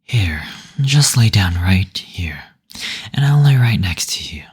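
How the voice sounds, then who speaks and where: A man playing a gentle, teasing demon in an intimate roleplay audio, speaking in a breathy, soothing, attentive way. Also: sits low, very animated, exaggerated voice. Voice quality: breathy, husky. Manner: slow, soothing, tender, attentive. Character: gentle teasing male demon, brothel receptionist.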